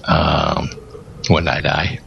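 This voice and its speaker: Gravelly, flu-stricken man on a call. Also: extremely low pitch, little pitch movement, drawn-out words, fairly bright tone. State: sick with flu, hoarse. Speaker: guest host calling in. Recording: dull call-line audio with faint noise.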